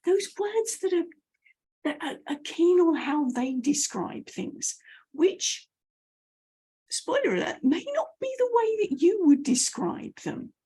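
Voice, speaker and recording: A woman's voice: very high-pitched, big pitch swings, slow, mellow. A British woman coaching her audience to camera, telling anecdotes with self-deprecating asides. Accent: British English. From United Kingdom. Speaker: message clarity coach.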